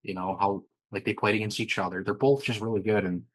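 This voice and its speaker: A man's voice: fairly low pitch, fairly even intonation, quick pace, no echo, poor audio. A man co-hosting a sports podcast, speaking plainly and matter-of-factly. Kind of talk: casual sports chat.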